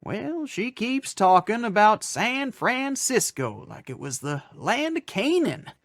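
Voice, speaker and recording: Fretful, folksy storekeeper, lively swooping pitch. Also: male voice, slow, dry recording, clean studio sound, exaggerated voice. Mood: chatty, folksy. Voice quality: folksy rural diction. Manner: hesitant, chuckling, fussing. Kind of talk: radio western acting.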